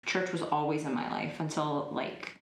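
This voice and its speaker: A woman's voice: sits low, fairly even intonation, moderate pace, a little hiss or hum. A woman in her twenties chatting casually as an interview guest, with lots of likes and ums. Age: 20s. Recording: roomy echo.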